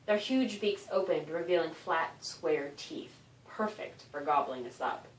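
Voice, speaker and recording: A woman author reading a children's story aloud in an expressive, animated narration with dramatic emphasis. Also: low voice, unhurried, slight background noise. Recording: roomy echo, distant microphone.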